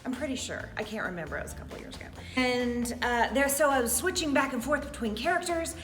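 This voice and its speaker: A middle-aged woman talks casually about her past as a stage actress. Her pitch swings widely in a playful, theatrical way. Music plays clearly behind her voice. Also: fast. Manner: animated, theatrical, jokey.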